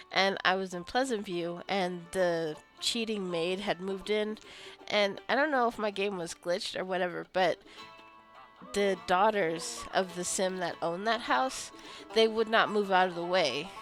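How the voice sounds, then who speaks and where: A woman casually narrating her own video game play, rambling into asides and playfully scolding the characters as she goes. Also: on the low side. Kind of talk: casual gameplay commentary. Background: life simulation game being played.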